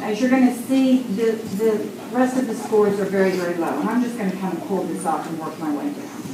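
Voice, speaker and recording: A woman in her sixties with a loud voice presents a survey report to a board in a formal tone, with many fillers. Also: on the high side. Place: boardroom meeting. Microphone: room mic from a distance. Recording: echoey room, distant, noisy.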